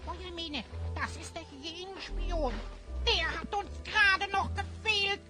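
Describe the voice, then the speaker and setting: Squeaky, pert little creature. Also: female voice. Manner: emphatic, know-it-all storytelling. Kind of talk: German fantasy audio drama acting.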